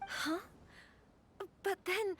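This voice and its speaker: A woman playing a gentle, earnest young noblewoman in a video game, speaking politely and hesitantly. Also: very high voice, extremely slow, a little hiss or hum, full character voice. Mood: confused, hesitant.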